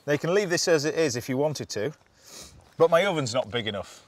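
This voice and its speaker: A man, a TV chef chatting through a cooking demonstration outdoors, instructive and quick to laugh. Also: a little high, expressive. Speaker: TV chef host. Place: outdoors at a campsite.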